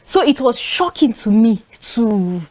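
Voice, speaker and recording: A young woman from northern Nigeria with a Nigerian accent, speaking as a talk show panelist and telling stories in an animated way, with frequent fillers. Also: fairly high pitch, unhurried, crowd noise. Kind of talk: casual panel discussion.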